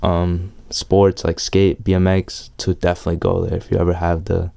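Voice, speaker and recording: The laid-back young guy you would meet resting on his bike, answering questions in slang, his voice lowish and mellow. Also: monotone, normal speed, vocal fry. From El Salvador, long resident in New York. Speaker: interviewee, amateur BMX rider. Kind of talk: casual chat.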